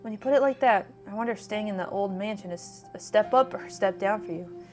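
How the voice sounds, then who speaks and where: A woman's voice: medium pitch, fast talker, darkish tone, soft background music, lightly acted. A woman reading a visual novel's friendly small-town woman, chatty, curious and earnest.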